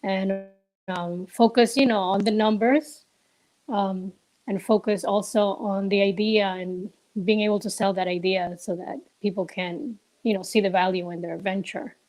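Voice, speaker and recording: A woman's voice: mid-range voice, fairly even intonation, measured pace, darkish tone, low-quality recording. A young woman from Ecuador, a business co-owner, answering interview questions casually and hesitantly, with many fillers and restarts, over a remote call.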